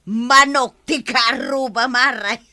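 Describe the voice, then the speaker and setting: A woman's voice: fairly high pitch, slow, bright, forceful tone, no room sound, exaggerated voice. A woman with an Ilocano accent playing a gossipy, nagging villager in a radio comedy drama.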